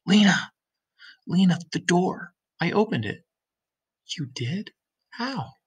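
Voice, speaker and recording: A man's voice: slow pace, breathy edge. A middle-aged man, a teacher, reading a graphic novel aloud to his students, doing the characters' voices and stopping to ask them questions. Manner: animated, shifts pitch for the characters' lines. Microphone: webcam on a computer screen recording.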